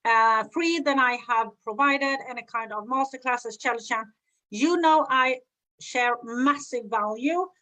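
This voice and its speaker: A middle-aged woman from Sweden with a Swedish accent, a coach giving an earnest, energetic live-streamed talk with many "right?" checks. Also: fairly high pitch, measured pace, low-quality recording. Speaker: online business coach.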